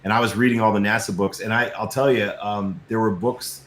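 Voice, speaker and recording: A man's voice: lowish voice, steady tone, brisk, fairly bright tone, a little hiss or hum. A middle-aged American man, a guest on a show, telling personal stories in a conversational way. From United States. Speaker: guest, filmmaker. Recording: echoey, roomy sound.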